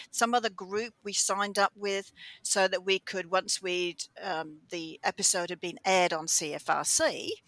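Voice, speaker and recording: A woman with a mixed English and Australian accent, a radio show host chatting in an interview, telling her story in an animated way with quick restarts. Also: fairly low pitch, dry recording, rough recording. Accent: mix of English and Australian. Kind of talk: conversational interview answer.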